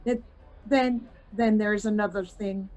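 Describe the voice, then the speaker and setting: A woman playing the earnest, fussy leader of an amateur acting troupe, instructing deliberately, in a Shakespeare radio play. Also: medium pitch, steady tone, darkish tone, close to an everyday voice, rough recording. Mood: organising.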